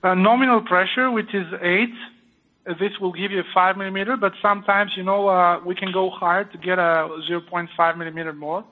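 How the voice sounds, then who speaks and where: Halting French-accented man on a tinny line. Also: high voice, steady tone. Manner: explanatory, halting with many fillers. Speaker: surgeon performing a live procedure. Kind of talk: live procedure commentary.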